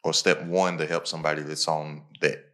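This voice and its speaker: A man's voice: rumbling low, level tone, a little fast, a bit bright. A man hosting a podcast, talking casually and motivationally in repeated punchy phrases. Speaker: podcast host. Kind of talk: casual conversational podcast talk.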